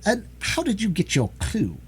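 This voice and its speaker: A man voicing a composed, dryly courteous gentleman detective with a grave, sententious manner, in a storybook reading. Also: high-pitched, wildly swooping pitch, normal speed, husky, pitched above the performer's own voice, full character voice.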